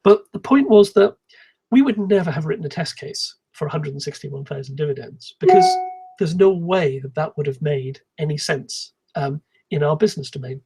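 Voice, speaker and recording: A man giving an explanatory technical conference talk in a calm, measured manner, with a slightly husky voice. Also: fairly high pitch, rough recording. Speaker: conference speaker, software engineer.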